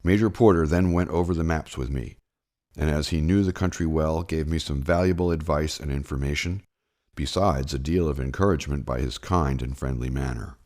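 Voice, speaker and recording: A man reading a historical first-person memoir as scripted narration, with measured, formal storytelling delivery. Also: extremely low pitch, a little mellow. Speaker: audiobook narrator. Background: plucked guitar music.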